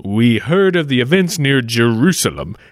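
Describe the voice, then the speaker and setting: A man's voice: mid-range voice, sing-song, normal speed, no room sound, clean studio sound, close to an everyday voice. A man playing an earnest, cautious time-travelling investigator with folksy politeness in a 1940s-style radio play. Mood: placating.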